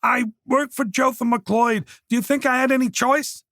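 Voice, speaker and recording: A man voicing a nervous young man who pleads in a higher, anxious voice. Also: steady tone, moderate pace, fairly bright tone, no echo, studio quality. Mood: pleading.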